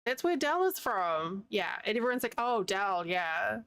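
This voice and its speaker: Chatty New Zealand woman, gravelly voice. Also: medium pitch, sing-song, measured pace, fairly bright tone, no echo. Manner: chatty, rambling, laughs often. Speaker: streamer. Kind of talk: casual chat with live chat while crocheting. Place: home, living in the United States.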